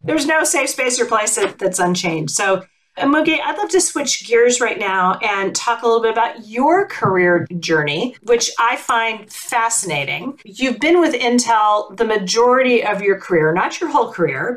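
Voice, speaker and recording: A woman's voice: on the high side, lively intonation, moderate pace, a bit bright. A woman hosting a corporate interview podcast, affirming her guest and leading into new topics with enthusiasm. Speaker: podcast host.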